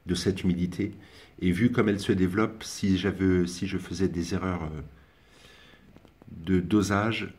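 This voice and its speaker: A man's voice: sits low, a little mellow. A young man casually commenting while filming his ant colony, hesitating often with fillers and restarts. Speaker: ant-keeping hobbyist vlogger.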